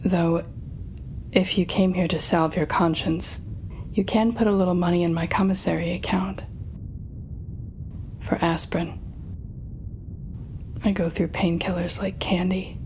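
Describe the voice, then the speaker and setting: Girl doing tired sarcastic lady villain for audio roleplay, slow and dramatic, bit of rumbly noise. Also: steady tone, darkish tone. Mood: weary, sardonic. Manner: wry, dramatic, speaking directly to one listener. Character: weary sardonic female telepathic supervillain, bitter yet fair.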